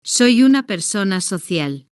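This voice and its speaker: Smooth, slow woman narrating for learners. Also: mid-range voice, sing-song, a little mellow, dry recording, studio quality. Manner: slow, deliberate, evenly paced short sentences. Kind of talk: slow scripted first-person story narration for beginners.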